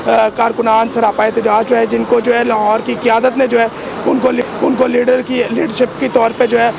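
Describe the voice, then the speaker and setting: A man's voice: extremely high pitch, monotone, moderate pace, creaky. A man reporting live outdoors with a sore throat, rambling with many fillers. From Pakistan. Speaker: field reporter. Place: outdoors by a street. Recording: noisy outdoor street with traffic.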